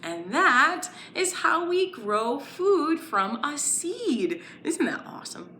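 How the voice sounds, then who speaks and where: Animated, high-voiced woman telling children a story. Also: takes it slowly, slight background noise. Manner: sing-song, exaggerated emphasis, addressing children directly.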